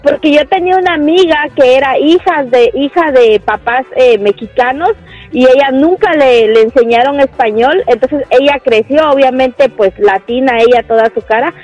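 High-voiced woman caller on muffled line. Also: normal speed, darkish tone, faint music behind. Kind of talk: phone-in comment. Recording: dull phone line on radio.

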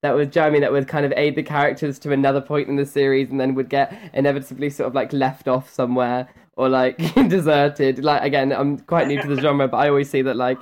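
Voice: mid-range voice, little pitch movement, fast, few pauses, a little mellow. A voice actor chatting casually in an interview, rambling and hesitant with a giggly edge.

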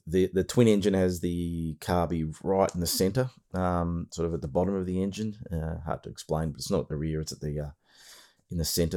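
A hoarse Australian man with a very low voice talks through his podcast in a loose, rambling way, full of fillers and restarts. He sounds middle-aged and a little worn, as if he is losing his voice. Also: mellow. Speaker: podcast host. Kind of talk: casual podcast monologue.

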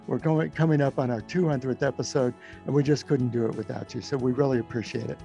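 A man's voice: medium pitch, fairly even intonation, quick pace, vocal fry, warm tone, highs cut off, soft background music. A man speaking as the host of an interview podcast.

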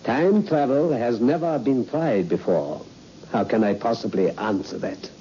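A man's voice: medium pitch, measured pace, lightly acted. An actor playing an eager, fussy elderly male scientist in an old radio suspense play. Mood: brisk. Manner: fussy, repetitive, hesitant then emphatic. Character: excitable elderly male scientist, eager, absent-minded professor. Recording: muffled, noisy old radio recording.

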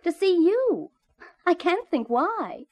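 High-pitched, sassy young secretary. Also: female voice, very animated, slow pace, exaggerated voice. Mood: sardonic. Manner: sassy, teasing. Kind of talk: 1950s radio detective comedy-drama.

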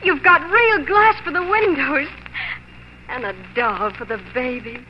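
A woman's voice: high voice, very animated, a little slow. An American actress playing a devoted, hopeful young pioneer wife and mother, earnest and emotional in 1940s radio style. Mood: delighted, loving. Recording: muffled, noisy 1940s radio recording.

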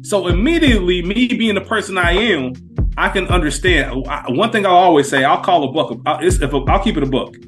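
Animated man telling a story. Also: high voice, a little fast, faint music behind. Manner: animated anecdote-telling with street slang. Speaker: podcast co-host. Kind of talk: casual chat, storytelling.

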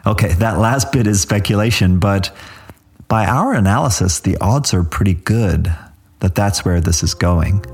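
A man hosting a podcast, delivering scripted commentary in a measured, articulate and slightly wry way. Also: lowish voice, a little mellow. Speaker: podcast host.

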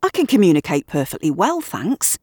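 An agitated man who argues in sarcastic, heated bursts, his voice jumping high and loud when he gets wound up, as a woman reads him. The delivery is quick, and the sound is dry and close. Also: wildly swooping pitch, breathy edge, no room sound, full character voice. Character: agitated middle-aged man, argumentative and sarcastic.

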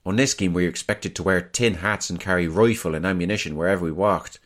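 An actor playing a young British soldier reading from his wartime diary in a measured, reflective way. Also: low-pitched. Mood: dry, wry.

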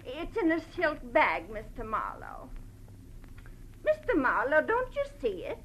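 Anxious, genteel woman: high, highly animated, hesitant and melodramatic, faint old-recording noise. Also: measured pace, creaky, full character voice, rough recording. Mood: anxious, helpful. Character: distraught, genteel, anxious woman friend of victim. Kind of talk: 1940s radio drama acting.

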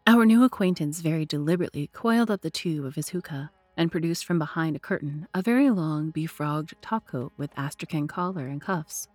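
A woman reads scripted narration for a classic mystery audio drama. Her voice is on the low side for a woman, and her storytelling is measured and literary. The recording is very dry. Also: lively intonation, darkish tone, no echo, faint music behind.

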